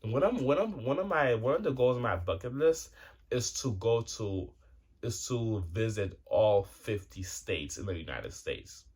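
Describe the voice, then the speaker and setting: A man's voice: mid-range voice, animated, measured pace, darkish tone, slightly rough. A man in his twenties talking casually to his viewers, rambling and cursing freely with lots of restarts and fillers. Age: 20s. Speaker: vlogger. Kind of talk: casual rambling monologue to camera.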